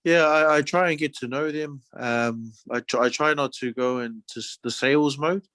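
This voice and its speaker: A man with a New Zealand accent speaks as a real estate agent in a relaxed, conversational way. His phrasing is friendly and loose, full of small verbal padding. The sound is dry, with very little room around it. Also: mid-range voice, normal speed, no echo. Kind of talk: casual, conversational sales talk.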